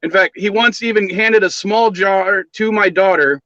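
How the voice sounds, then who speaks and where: A man's voice: high voice, normal speed, pushed and bright, dry recording, lo-fi sound. A middle-aged man from Southern California chatting casually and telling rambling family stories. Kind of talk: casual chat.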